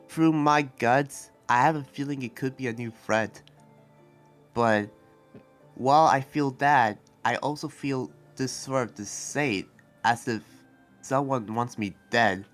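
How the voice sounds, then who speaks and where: A man's voice: medium pitch, takes it slowly, pauses often, soft background music, lightly acted. A man playing an earnest, protective demon fighter in an improvised anime game roleplay, talking in a hesitant, rambling way. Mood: troubled, earnest. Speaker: roleplay series player.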